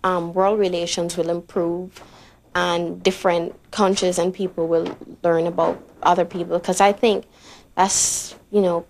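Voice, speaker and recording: Brief: young female student, slightly low voice, casual hopeful interview talk. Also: flat delivery, a little slow, vocal fry, darkish tone.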